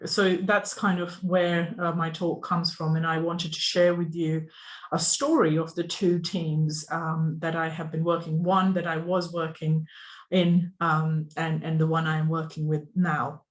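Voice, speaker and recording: A speaker presents over a video call, in a level voice that stays close to one pitch. The delivery is earnest and halting, full of ums and small restarts. It sounds like a software engineer talking to fellow professionals. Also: flat delivery. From Pakistan. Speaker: software engineer giving a conference talk. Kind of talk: online presentation.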